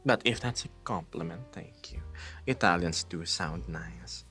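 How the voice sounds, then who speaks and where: Deep-voiced playful male streamer. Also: unhurried, bright, forceful tone, faint music behind. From Philippines. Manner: playful, teasing, laughs often. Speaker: livestreamer. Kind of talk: casual livestream chat with viewers.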